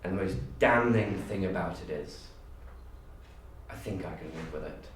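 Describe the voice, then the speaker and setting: Low-voiced, guilt-ridden man played by a male auditionee, grimly resigned monologue, very echoey and distant. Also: normal speed, lightly acted. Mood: grim confession. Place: university room audition. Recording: echoey room, distant mic, faint noise.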